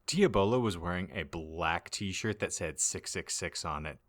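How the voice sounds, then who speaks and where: A man's voice: low voice, a little slow, a bit bright. A man narrating comic fan fiction as a podcast host, dramatically reading out garbled misspellings as written.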